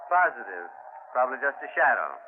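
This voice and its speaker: An actor playing an anxious, protective husband with a strained manner in vintage radio horror. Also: male voice, medium pitch, very animated, fairly quick, phone-quality sound, natural voice. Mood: wary. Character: anxious, protective husband, firm then distraught. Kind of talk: 1940s radio horror acting. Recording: noisy, thin old radio broadcast.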